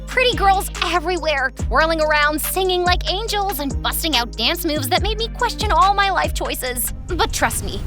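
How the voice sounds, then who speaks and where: A young woman voicing an excitable, cheeky teenage girl in a dramatic, chatty animated storytime narration. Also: sits high. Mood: chatty, exasperated. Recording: music under the voice.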